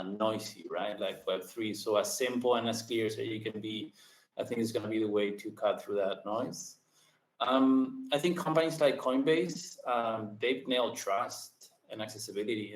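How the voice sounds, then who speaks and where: A man in his thirties from Argentina talks in a casual interview. He speeds up in bursts and fills his pauses with ums, and the room around him sounds echoey. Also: lowish voice. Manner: quick bursts, many ums, restarts. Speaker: podcast guest. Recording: roomy echo.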